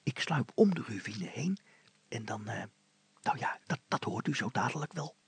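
A man voicing an eager, mischievous boy who speaks to his father in confident, hushed whispers. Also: medium pitch, sing-song, moderate pace, vocal fry, slightly rough, dry recording, exaggerated voice.

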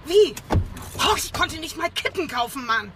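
Blunt stubborn carpenter. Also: female voice, sits high, expressive, normal speed, heavy background noise. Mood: brusque. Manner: brusque, casual slang. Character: stubborn blunt female carpenter, young adult.

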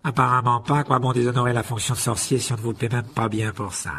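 A man voicing a contemptuous, aristocratic wizard father in an audiobook, drawling with disdain and mock politeness in a husky voice. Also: medium pitch, fairly even intonation, fast talker, a bit bright, a little hiss or hum. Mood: cold sneering. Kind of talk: audiobook character voice.

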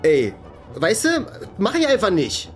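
A man playing a nervous, stubborn club helper in a radio drama, halting and stammering as he talks. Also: sits high, wildly swooping pitch, soft background music, full character voice. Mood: nervous refusal. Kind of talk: naturalistic radio drama acting.

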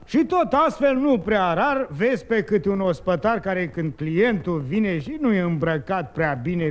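An actor playing a jovial, wry tale-teller who declaims mocking rhymes rhythmically in a 1950s radio drama. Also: male voice, high-pitched.

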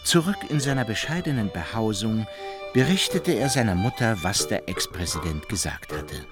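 An actor narrating a radio play as a formal, mock-solemn storyteller with literary phrasing. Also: male voice, on the low side, animated, normal speed, natural voice. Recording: music behind the voice.

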